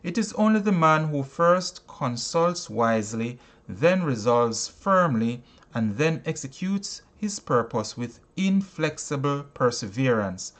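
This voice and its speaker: A man reading a self-help audiobook in an emphatic, oratorical manner, breaking sentences into short phrases with deliberate pauses. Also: mid-range voice, very animated. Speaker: audiobook reader and teacher.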